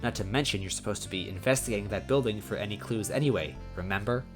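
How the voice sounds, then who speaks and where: A man's voice: fairly low pitch, fast, music in the background, lightly acted. Man as a weary, stern female police chief. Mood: weary, firm. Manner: weary and matter-of-fact. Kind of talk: amateur story read-aloud.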